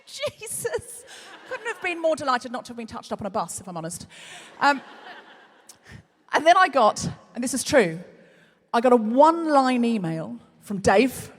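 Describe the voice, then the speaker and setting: A woman hosting a live comedy show, telling dry, self-mocking stories to a big audience. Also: high-pitched. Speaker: podcast host. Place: large concert hall before an audience. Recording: live hall recording with long reverb.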